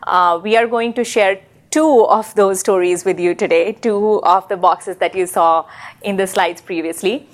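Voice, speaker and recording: A woman presenting at a conference, moving quickly through slides with fillers. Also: medium pitch, fairly even intonation.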